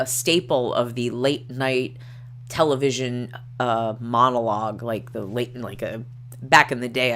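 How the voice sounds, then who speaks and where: A woman's voice: very deep voice, fairly bright tone, slight background noise. A middle-aged woman hosting a casual livestream, reading articles aloud and commenting in a chatty, digressive way with wry asides. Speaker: livestream host.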